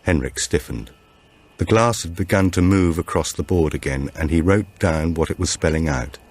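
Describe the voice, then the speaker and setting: A man reading a thriller audiobook as narrator, in a measured, suspenseful and dramatic storytelling manner. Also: deep voice, a little mellow.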